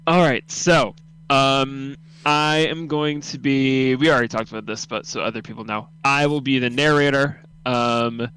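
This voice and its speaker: A man's voice: medium pitch, fairly even intonation, moderate pace, a bit bright, clear, resonant tone, rough recording. A young man who hosts and narrates an amateur radio drama, moving between casual chat and scripted story reading.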